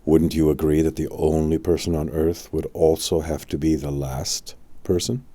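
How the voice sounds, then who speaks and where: A wise old wizard played by a man teaches in an audiobook tale. The voice is very deep and mellow, with a calm, solemn, measured delivery, like an immortal mentor instructing a pupil. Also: no echo. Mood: calm certainty. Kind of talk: audiobook storytelling.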